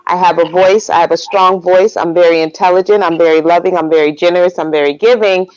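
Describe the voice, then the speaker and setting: A woman's voice: fairly low pitch, steady tone, fast, a little mellow, no room sound, lo-fi sound. A woman giving her opinions in a discussion, speaking emphatically and assertively.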